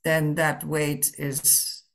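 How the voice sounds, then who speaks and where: Female meditation guide, low register, unhurried and halting, gently offering instructions with plenty of space between phrases. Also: completely flat delivery, creaky. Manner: gentle, permissive, halting with long pauses. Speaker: Zen teacher leading a guided meditation.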